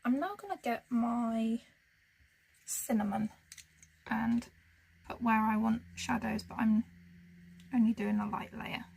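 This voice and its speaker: Soft, gravelly-voiced woman narrating her colouring. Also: on the high side, takes it slowly, pauses often, rough recording. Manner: soft-spoken, musing, often drops to a whisper; talks to herself as she works. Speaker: colouring channel host. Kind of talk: casual narration while colouring.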